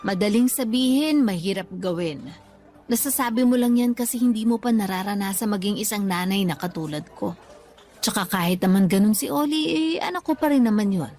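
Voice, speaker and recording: A woman playing a forgiving, self-effacing older mother in a radio drama, meek and gently defensive. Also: medium pitch, expressive, brisk, faint music behind, lightly acted. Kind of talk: Tagalog radio drama acting.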